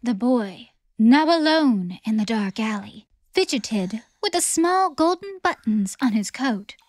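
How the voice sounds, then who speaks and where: A woman's voice: fairly high pitch, animated, unhurried, dry recording, clean studio sound. A woman reading scripted fantasy storybook narration as an audiobook narrator.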